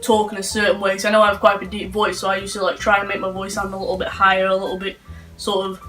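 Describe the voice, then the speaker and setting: A young man, giving calm, earnest answers as an interview guest. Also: high-pitched, quick pace, distant mic, soft background music. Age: late teens.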